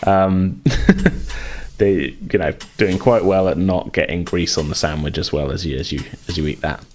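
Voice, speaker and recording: A man's voice: low voice, moderate pace, a little mellow, a little hiss or hum. A man acting as game master, improvising tabletop game narration in informal, hesitant phrasing.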